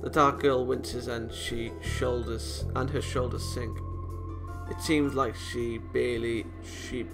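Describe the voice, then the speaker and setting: A man's voice: medium pitch, fairly even intonation, slow pace, gravelly, music in the background. A man reading visual novel narration aloud expressively, sometimes stumbling and rereading phrases.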